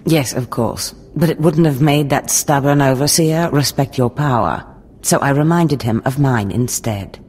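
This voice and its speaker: A woman's voice: extremely low pitch, unhurried, a little mellow, breathy edge, full character voice. A woman playing a poised, menacing, condescending Sith lord in a video game, with a theatrical, mock-sweet manner. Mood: smug approval. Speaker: villainous mentor.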